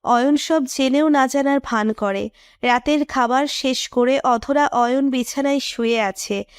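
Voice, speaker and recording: A woman's voice: sits high, measured pace, clean tone, no room sound, studio quality. A woman narrating a scripted romantic story.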